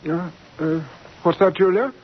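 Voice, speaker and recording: An actor playing a smitten, evasive married doctor in a 1940s radio drama, polite, hesitant and prone to bluster. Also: male voice, high-pitched, a little slow. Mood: flustered, indignant. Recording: muffled, hissy 1940s radio recording.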